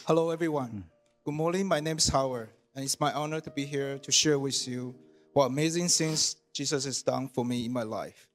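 A man, reading his personal testimony at church in an earnest, measured way. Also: medium pitch, faint music behind. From Taiwan. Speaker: church member giving testimony.

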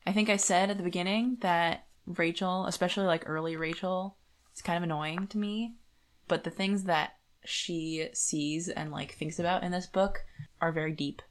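A woman's voice: on the low side, steady tone, moderate pace. A woman co-hosting a podcast, talking in a casual, reflective, conversational way. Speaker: podcast co-host. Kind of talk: casual book discussion.

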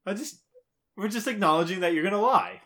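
A man's voice: high-pitched, animated, brisk, bright, forceful tone. A man co-hosting a podcast in casual, playful banter, sometimes reading out quiz questions in a teasing way. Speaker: podcast co-host.

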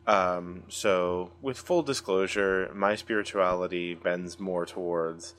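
A man's voice: low-pitched, slow, fairly bright tone. An American man hosting a casual podcast chat, with playful introductions and loose, thinking-aloud questions. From United States. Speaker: podcast host.

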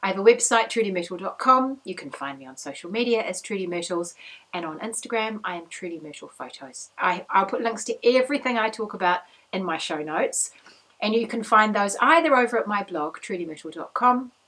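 A woman in her thirties with a slightly croaky voice, hosting a podcast in a chatty, upbeat, rambling way. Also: medium pitch, expressive, a little fast, bright, forceful tone. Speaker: podcast host. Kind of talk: casual chat.

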